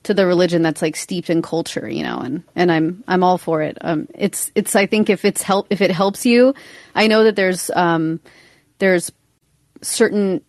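A young woman, a podcast guest, talking in a relaxed way full of fillers, often trailing off softly. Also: lowish voice, moderate pace, no room sound, clean studio sound. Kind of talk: casual interview talk.

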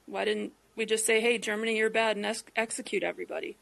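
A woman's voice: medium pitch, steady tone, quick pace, vocal fry, fairly bright tone. A woman hosting a live political call-in podcast, speaking in an earnest, insistent way. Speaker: podcast host. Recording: live call-in app audio.

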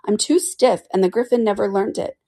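A mournful, sighing male Mock Turtle from a storybook, as a woman reader puts him on. He speaks in a raised, plaintive voice that stretches words out slowly. The telling is loud and weepy throughout. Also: medium pitch, fairly even intonation, voice pushed up, close to an everyday voice. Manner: weepy, plaintive, drawn-out delivery. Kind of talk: storybook reading.

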